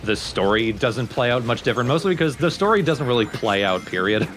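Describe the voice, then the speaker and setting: A man's voice: mid-range voice, sing-song, fairly quick, fairly bright tone, slight background noise. A man hosting a let's play, explaining the game in a casual, wry way.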